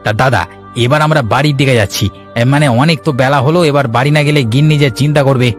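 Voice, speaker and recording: Man acting cartoon village sidekick, mid pitch, casual, compliant, deferential, music behind. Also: steady tone, quick pace, lightly acted. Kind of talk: Bengali cartoon story acting.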